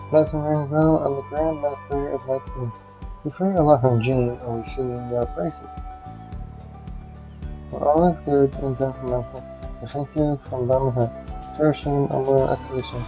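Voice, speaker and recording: A man's voice: medium pitch, mellow. A man streaming a game, reading its text aloud. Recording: muffled audio with game music.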